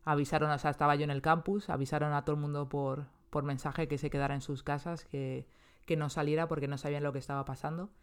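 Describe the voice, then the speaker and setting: A woman's voice: sits low, level tone, quick pace, clear, resonant tone. Chatty woman with a Spanish accent. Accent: Spain Spanish. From Spain. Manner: chatty, many restarts and fillers. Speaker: interviewee. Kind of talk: casual interview.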